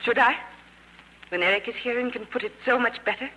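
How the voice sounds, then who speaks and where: Radio drama wife: cool, resentful, bright-edged voice, slightly noisy old recording. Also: female voice, fairly high pitch, brisk. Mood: sarcastic. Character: resentful, coolly sarcastic wife of a politician. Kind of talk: 1950s radio drama acting.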